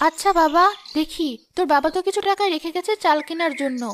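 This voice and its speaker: A woman doing a weary, soft-spoken mother. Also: extremely high pitch, quick pace, slight background noise. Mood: soothing. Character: tired, gentle poor mother.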